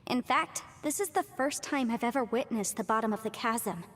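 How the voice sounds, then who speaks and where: Young female game adviser: girlish, high, animated, politely evasive, with hall reverb. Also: moderate pace. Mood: polite, secretive. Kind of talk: video game voice acting.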